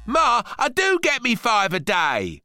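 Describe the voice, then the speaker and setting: A man's voice: very high voice, huge pitch swings, measured pace, pushed and bright, pitched above the performer's own voice, exaggerated voice. A man voicing a bratty, greedy young boy in a storybook, whiny and slangy, given to explosive outbursts. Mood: cheeky, indignant.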